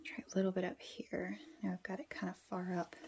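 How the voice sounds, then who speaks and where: A woman with a soft, breathy voice, murmuring and thinking aloud through a casual craft demonstration. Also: fairly low pitch, monotone, a little fast. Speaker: journaling vlogger.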